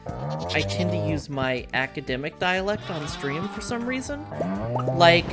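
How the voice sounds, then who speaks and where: A woman's voice: deep voice, expressive, a little slow. A woman streamer hosting a casual chat while gaming, frank and rambling, with casual swearing. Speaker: streamer and host. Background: game music.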